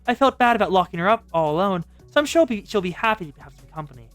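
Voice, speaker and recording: A woman's voice: medium pitch, animated, quick pace, soft background music, close to an everyday voice. A woman voicing a stern, exasperated student council girl from a game, with a formal, reproachful manner. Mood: smug.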